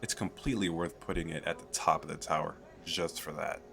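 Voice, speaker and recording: A man playing an eager, chatty fantasy merchant in an audio roleplay, talking the listener through a sales pitch in a hesitant, rambling, persuasive way. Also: fairly even intonation, a little fast, gravelly, a bit bright, faint music behind. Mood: proud, enthusiastic. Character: eager, chatty male fantasy merchant selling magical crystal castles.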